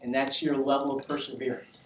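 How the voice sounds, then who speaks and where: A man's voice: mid-range voice, little pitch movement, a little fast, slight background noise. Upbeat man speaking in an echoey hall. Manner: upbeat, joking with the audience. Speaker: college faculty host. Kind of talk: casual introductory speech. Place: lecture hall. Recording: roomy hall, picked up from a distance.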